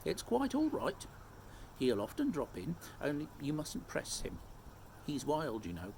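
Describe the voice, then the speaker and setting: A man's voice: fairly high pitch, wildly swooping pitch, unhurried, vocal fry, a little mellow, a little hiss or hum, pitched above the performer's own voice, full character voice. A man voicing a homely, knowing beaver in a storybook, chatty and matter-of-fact as he reassures. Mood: reassuring, confiding.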